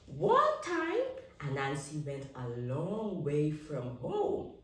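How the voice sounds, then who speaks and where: A woman tells a picture-book story to young children, her lowish voice swinging widely in pitch. She takes her time and draws listeners in with questions. The room is very echoey. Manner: expressive, addresses listeners with questions, dramatic pauses. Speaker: children's read-aloud storyteller. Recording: echoey room, distant microphone.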